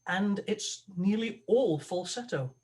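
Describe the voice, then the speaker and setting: A man, an opera tenor, talking as a guest on a video call, halting and thoughtful with many restarts. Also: high voice, animated, a little mellow. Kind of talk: conversational interview over a video call.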